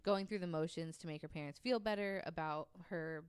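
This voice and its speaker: A woman co-host narrates a story to her friends in a relaxed, chatty way, stopping often for an um. Her voice sits low and sounds smooth and clear. The sound is dry and close to the mic. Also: fairly quick, dry recording. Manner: narrates at length with frequent ums, reads quotes aloud. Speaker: podcast co-host telling the story. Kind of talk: casual storytelling chat.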